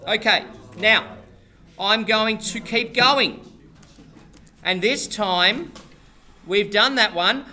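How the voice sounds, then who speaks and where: A man teaching maths to a class, in a high, bright voice. He is chatty and playful, with jokes and restarts along the way. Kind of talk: classroom lesson, joking asides.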